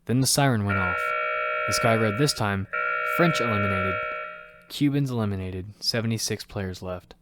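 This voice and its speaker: A man's voice: lowish voice, moderate pace, close to an everyday voice. A man playing a determined young fighter who narrates his own survival story in an amateur audio drama. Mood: dramatic narration. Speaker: narrator and lead actor. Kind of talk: first-person narration of amateur audio fiction. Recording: clearly noisy amateur recording with clicks.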